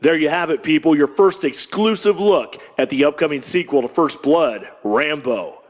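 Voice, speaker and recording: An actor playing a slangy, enthusiastic 1980s TV interviewer in an audio drama. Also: male voice, on the high side, moderate pace, heavy background noise, close to an everyday voice. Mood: gushing.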